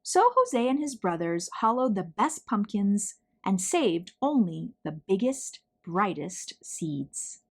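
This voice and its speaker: A woman reads a children's picture book aloud. Her delivery is slow and deliberate, with lively swings in pitch and dramatic emphasis on key words. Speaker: children's story reader.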